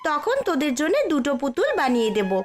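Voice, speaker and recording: A woman's voice: high voice, very animated, fast talker, soft background music. A woman voicing a young elder sister in a cartoon story, chiding yet caring. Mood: chiding, kindly. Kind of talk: Bengali cartoon story voice acting.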